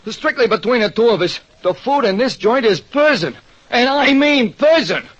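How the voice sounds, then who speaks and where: A man voicing a gloomy, excitable working-class American diner owner in 1940s radio style, plaintive and slangy. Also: extremely high pitch, moderate pace, pitched above the performer's own voice, exaggerated voice. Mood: sheepish. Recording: dull, noisy old radio recording.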